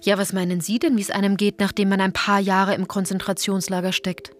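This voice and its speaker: A woman's voice: medium pitch, level tone, fairly quick, lightly acted. An actress playing a sardonic female tour guide in a German radio play, addressing listeners directly with theatrical irony. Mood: pointed, dry. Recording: music under the voice. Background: music.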